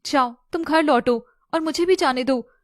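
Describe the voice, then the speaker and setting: Woman acting guarded, concerned young woman, high-pitched. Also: moderate pace, no echo, clean studio sound, lightly acted. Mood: alarmed. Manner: dramatic, questioning, concerned. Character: guarded young woman confronting and comforting her childhood love. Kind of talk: Hindi audio story acting.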